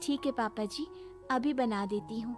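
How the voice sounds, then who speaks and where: A woman's voice: high voice, lively intonation, a little slow, fairly bright tone, voice pushed up. A woman voicing a polite, overworked young daughter-in-law in a cartoon moral story. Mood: meek, obliging. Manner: deferential, ends phrases with polite "ji". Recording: clear music bed behind voice.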